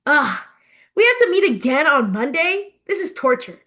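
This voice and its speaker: A woman's voice: sits high, big pitch swings, moderate pace, pushed and bright, pitched above the performer's own voice, full character voice. A woman voicing a reluctant young man who complains dramatically, in storybook dialogue. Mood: exasperated. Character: grumbling, reluctant young man dreading meetings.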